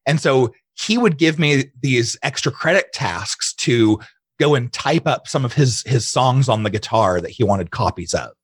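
A man's voice: medium pitch, lively intonation, measured pace, husky, no room sound. A man speaking as an interview guest, chatting casually and telling personal stories, often quoting his own thoughts. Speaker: interview guest, digital marketing entrepreneur.

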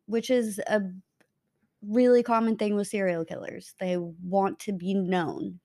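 A woman's voice: mid-range voice, little pitch movement, unhurried, dark tone. A woman co-hosting a casual true-crime podcast, blunt and sarcastic in her remarks. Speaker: podcast co-host.

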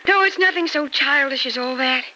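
A woman's voice: high-pitched, brisk, sharp tone, poor audio. An actress playing a stern, wounded young woman in an old radio soap opera, melodramatic and self-pitying. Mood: wounded, accusing. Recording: old radio drama recording.

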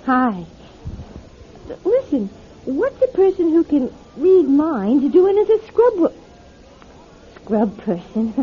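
A young showgirl in a radio drama, touchy and a little lost. Her high voice swings widely in pitch and takes its time. The recording is dull and lo-fi. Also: sing-song, slow pace, long pauses, slight background noise, exaggerated voice. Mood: shy, warming. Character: touchy young blonde go-go dancer, tearful and naive.

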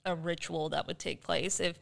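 A woman's voice: fairly low pitch, fairly even intonation, normal speed, vocal fry. A woman co-hosting a casual podcast, musing and thinking aloud as she talks. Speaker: podcast co-host, quiz contestant.

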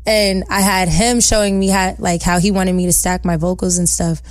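A young woman singer-songwriter with a soft voice, chatting in an upbeat, slangy, bubbly way as an interview guest. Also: lowish voice, little pitch movement, normal speed, no room sound. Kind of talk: casual interview chat.

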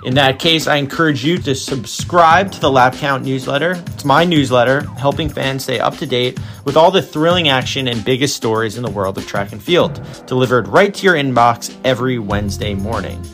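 A man reads a scripted promo at an ordinary mid pitch. Music plays clearly behind his voice as he invites listeners to subscribe. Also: a little fast, hardly any pauses, fairly bright tone. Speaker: newsletter writer giving a promo. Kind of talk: scripted podcast promo read. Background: music bed.

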